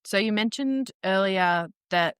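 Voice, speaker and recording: A woman's voice: medium pitch, a little slow, clear, resonant tone, dry recording. A woman hosting a podcast, asking questions and commenting in a casual interview style. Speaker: podcast host.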